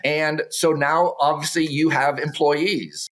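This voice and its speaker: A man's voice: a little high, fairly even intonation, slow, fairly bright tone, poor audio. A man hosting an interview, asking questions in a friendly, chatty way. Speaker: podcast host. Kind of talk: casual interview chat.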